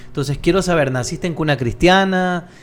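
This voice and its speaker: A man with an Ecuadorian Spanish accent hosting a casual podcast interview, lively and joking with his guest. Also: a little high, normal speed, slight background noise. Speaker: podcast host.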